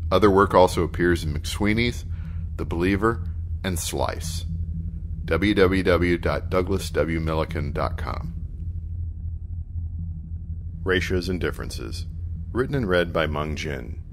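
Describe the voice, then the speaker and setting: A man with a very low voice serves as a podcast announcer. He reads scripted credits over a faint layer of background noise. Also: lively intonation, a little slow, long pauses. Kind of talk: scripted narration, reading author credits.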